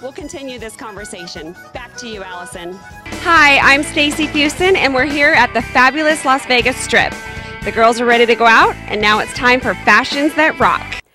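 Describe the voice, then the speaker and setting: A woman's voice: a little high, moderate pace, music in the background. A woman in her thirties hosting TV segments and interviews, chatty and playful in a bubbly way. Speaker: TV host. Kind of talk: upbeat hosting and promo presenting.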